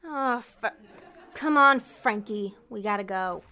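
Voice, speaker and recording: A woman's voice: mid-range voice, slow pace, bright, forceful tone. A woman playing a bossy, blunt damned soul in an audio drama, slangy and exasperated. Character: brash, griping female damned soul, bossy schemer.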